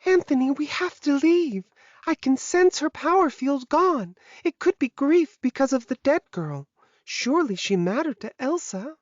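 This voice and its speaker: Woman playing high-voiced, urgent devoted sorceress. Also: expressive, a little slow, darkish tone, no echo, dull audio. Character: devoted female sorceress in love with her villain master. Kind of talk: dramatized audiobook dialogue.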